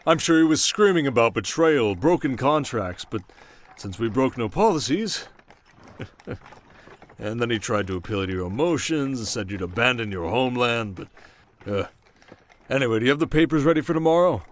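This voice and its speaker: Guy doing a confident king for asmr roleplay, medium pitch, wry n measured, kinda regal, protective. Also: expressive, slight background noise, natural voice. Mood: teasing, smug. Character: confident, shrewd young king, teasing and protective. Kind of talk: ASMR roleplay audio drama.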